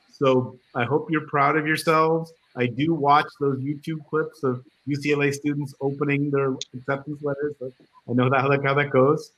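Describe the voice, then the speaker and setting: A man's voice: mid-range voice, a bit bright, dull audio. A middle-aged man, a department chair, casually welcoming new students on a video call. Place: home room on a video call.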